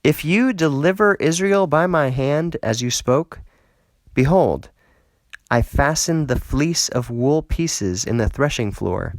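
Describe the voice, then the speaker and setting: A man's voice: on the high side, lively intonation, slow, no room sound, voice pushed up. A man voicing a frightened, awestruck Gideon who pleads with God in a dramatised scripture reading. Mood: earnest, pleading. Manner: pleading, exclamatory.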